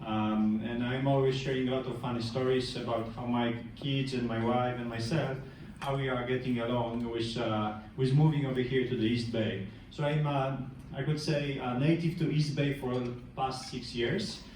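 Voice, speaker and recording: Middle-aged Polish tech presenter, hesitant and filler-heavy, slight background hum. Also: male voice, mid-range voice, fairly even intonation, moderate pace, darkish tone, far from the mic. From Poland. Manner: hesitant, many fillers and restarts. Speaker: tech speaker. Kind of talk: meetup workshop talk. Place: large meeting room. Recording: echoey room, speaker off-mic.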